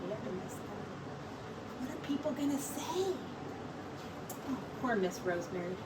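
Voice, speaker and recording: A woman teacher reading a picture book aloud in slow, expressive storytelling. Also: on the high side, distant mic, very noisy.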